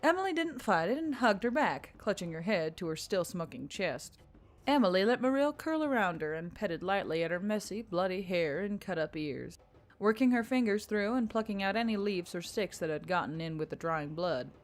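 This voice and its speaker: A woman's voice: medium pitch, animated, normal speed. A woman reading scripted fiction as a dramatic narrator. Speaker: story narrator.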